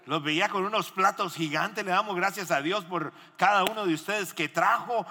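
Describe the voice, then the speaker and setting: A man's voice: high-pitched, moderate pace, bright, forceful tone, a little hiss or hum. A man speaking as a pastor and host in church, exhorting the congregation and putting questions to them. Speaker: pastor hosting a missions guest in church. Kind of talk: animated church interview and preaching. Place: large indoor church hall. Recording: echoey hall with long reverb.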